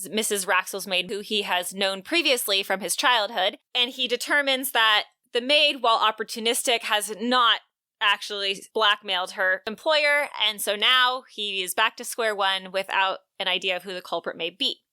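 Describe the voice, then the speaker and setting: Bright-voiced woman co-hosting a podcast. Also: medium pitch, moderate pace, smooth, dry recording. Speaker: podcast co-host. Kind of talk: book discussion with plot recap and read quotes.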